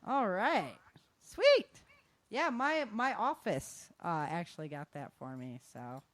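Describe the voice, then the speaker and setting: Female show host, casual and slangy chat, exclamatory delivery, average pitch, very little room sound. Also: very animated, slow, no room sound.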